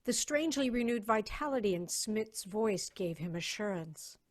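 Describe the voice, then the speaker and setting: A woman's voice: mid-range voice, animated, normal speed. Woman narrating a dramatic story. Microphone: video call.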